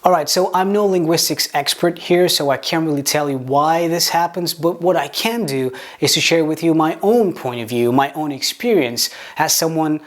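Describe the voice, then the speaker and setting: A man whose first language is Portuguese, speaking English with a Portuguese accent, teaching pronunciation in a video lesson and demonstrating words slowly. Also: on the high side. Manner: explains with examples, slow deliberate demonstration words, some stammered restarts.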